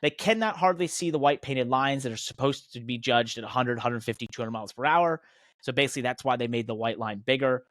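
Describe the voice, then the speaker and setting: A man's voice: mid-range voice, quick pace, fairly bright tone, no echo. An American man delivers opinionated motorsport podcast talk in a mid-range voice. He is emphatic and indignant, leaning hard on his points like a seasoned host on a rant. The sound is dry, with very little room in it. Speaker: podcast host and professional race car driver.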